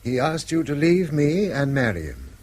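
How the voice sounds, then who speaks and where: An actor playing a priggish, bitterly probing middle-aged English schoolmaster in a radio drama, with a husky voice. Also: male voice, fairly high pitch, huge pitch swings, moderate pace, a little mellow. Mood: resigned, bitter.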